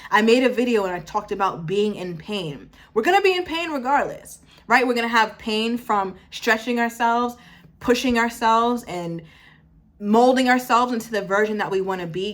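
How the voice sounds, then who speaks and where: A woman in her twenties giving a casual motivational talk to her viewers, emphatic, driving points home through repetition and stopping to correct herself. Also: on the high side. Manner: emphatic, repeats phrases for effect, self-correcting. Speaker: motivational vlogger.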